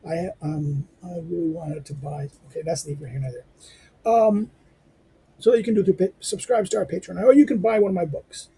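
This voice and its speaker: A hoarse man hosting a lecture channel, rambling through his outro with lots of fillers, restarts and asides. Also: fairly even intonation, normal speed, warm tone, rough recording. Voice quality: squeaky. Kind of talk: informal spoken outro to a reading lecture.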